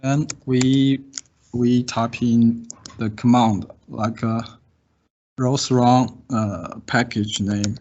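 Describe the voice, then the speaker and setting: A man gives a slow, halting software demonstration in an online class. He pauses often and sounds hesitant. The call audio is dull, with faint noise and keyboard clicks behind him. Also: medium pitch, steady tone. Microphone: video call. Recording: dull, slightly noisy call audio with typing. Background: keyboard typing and clicks.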